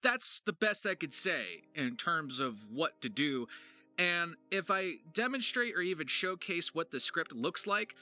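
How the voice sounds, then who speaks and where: A man narrating an explanatory vlog as a creator and voice actor, with emphatic, deliberate phrasing and dramatic stresses. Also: fairly high pitch, very animated, bright, forceful tone. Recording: music behind the voice. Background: music.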